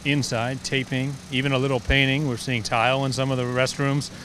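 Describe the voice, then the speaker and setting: Brisk male reporter at a stadium site. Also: medium pitch, level tone, fairly bright tone, a little hiss or hum. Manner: brisk, upbeat reporter delivery. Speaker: host. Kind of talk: scripted sports-network interview. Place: outdoors in an unfinished stadium under construction. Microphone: handheld microphone.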